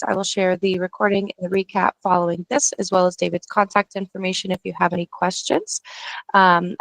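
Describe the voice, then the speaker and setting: A woman's voice: mid-range voice, creaky, fairly bright tone, no room sound, rough recording. A woman hosting a webinar series, introducing the speaker casually with frequent ums over a video call. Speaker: webinar host.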